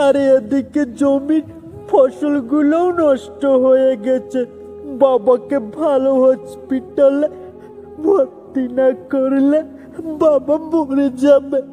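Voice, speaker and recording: A man voicing a devoted young village boy in a cartoon, pleading in a plaintive, drawn-out way. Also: extremely high pitch, warm tone. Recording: long reverb with music behind.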